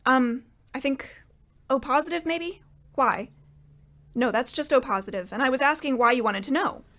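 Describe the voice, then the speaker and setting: A polite, put-upon widow acted by a woman in a comic radio play. Her voice is high, and she speaks earnestly and straight-faced, with exasperation under the good manners. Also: moderate pace, a bit bright. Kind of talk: old-time radio comedy acting.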